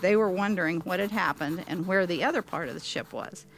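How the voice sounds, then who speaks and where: A woman playing an older storyteller, narrating her memories of an airship wreck in a measured, deliberate way. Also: on the low side, close to an everyday voice, rough recording. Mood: solemn recollection. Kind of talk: scripted first-person narration.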